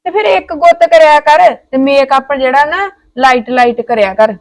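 A woman with a Punjabi accent playing a patient sister-in-law who gently lectures and coaxes. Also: high-pitched, moderate pace. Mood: coaxing. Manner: coaxing, scolding tone. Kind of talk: comic family skit acting.

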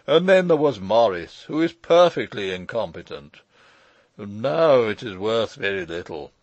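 Pompous old gentleman, played by a male reader: fussy, pedantic, aggrieved, lively pitch swings, dry close sound. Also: unhurried, dry recording, muffled recording. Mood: bitter, pedantic. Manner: pedantic, formal, long-winded, hesitating with ums.

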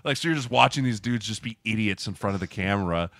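A man's voice: on the low side, expressive, quick pace, fairly bright tone, no room sound, studio quality. A man in casual sports podcast chat, explaining things by acting out quotes and often checking in with "right?". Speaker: podcast co-host, former player turned sports agent. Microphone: close podcast mic with headset.

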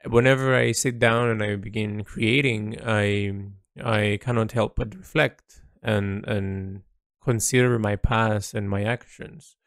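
A man speaking a reflective monologue as an artist's audio log, halting and introspective as he searches for words. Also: fairly low pitch, fairly even intonation, vocal fry, fairly bright tone, no room sound, clean studio sound.

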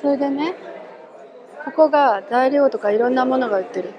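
A woman's voice: sits high, a little fast, muffled recording, a crowd in the background. Chatty woman filming a bonsai show. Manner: chatty, talks to viewers while filming. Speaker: vlogger touring a bonsai expo. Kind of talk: casual walking commentary. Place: bonsai pavilion and garden tents, partly outdoors. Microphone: handheld camera, speaker off screen.

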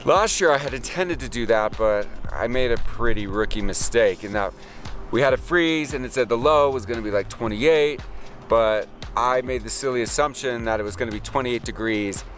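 A man's voice: mid-range voice, normal speed, fairly bright tone, soft background music. An American man in his thirties chatting casually to camera in an easygoing, rambling way as a gardening vlogger. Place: outdoors in a garden.

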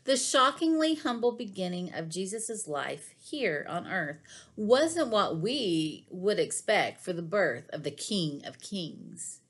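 A middle-aged woman reading devotional material aloud in a measured, deliberate way with pauses between phrases. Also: mid-range voice, sing-song, fairly bright tone, breathy edge. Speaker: devotional reader. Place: small living room at home, indoors.

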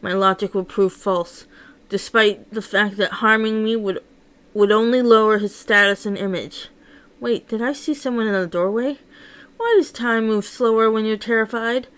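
Woman, fairly high pitch, first-person storytelling, theatrical, emotive narration. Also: fairly even intonation, moderate pace, a bit bright, clear, resonant tone. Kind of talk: dramatic first-person story read-along.

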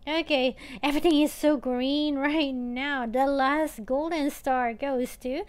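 A woman's voice: sits high, steady tone, takes it slowly. A woman casually commenting on her own gameplay, chatty and playfully whiny, thinking aloud with many filler sounds. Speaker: gaming channel host. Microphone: tablet or phone mic.

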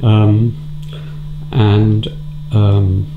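A man's voice: fairly low pitch, completely flat delivery, very slow, heavy background noise. A man interviewing a guest, asking short questions and murmuring agreement. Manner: brief prompts and murmured agreement. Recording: roomy, dull, humming sound.

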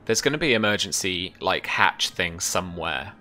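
A man in his thirties narrating a tabletop game as game master, descriptive and measured, with trailing pauses. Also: low-pitched, lively intonation, bright, forceful tone. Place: small indoor room at home.

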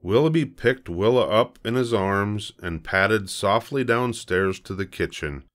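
An older man calmly narrating a bedtime storybook in a measured reading voice. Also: on the low side. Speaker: storybook reader.

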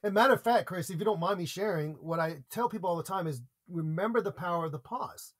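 A man's voice: sits high, quick pace. An American man from a podcast interview, earnestly explaining public speaking with examples and repeated points. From United States.

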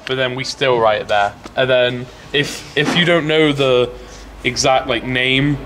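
A young store worker explains the shelves in a plain, halting way, often reaching for words. He is picked up by someone else's camera in a big warehouse, with a faint hum of noise behind him. His voice sits at a middle pitch. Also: fairly even intonation. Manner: hesitant, plain, filler-heavy. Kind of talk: casual explaining. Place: large indoor warehouse with shelving. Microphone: camera mic held by another person.

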